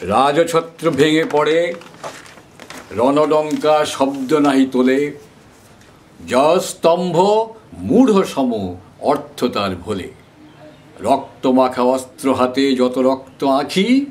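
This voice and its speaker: Slow, declamatory man reciting poetry. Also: mid-range voice, lively intonation. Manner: measured, declamatory, with deliberate pauses. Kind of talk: dramatic Bengali poetry recitation. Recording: faint background noise.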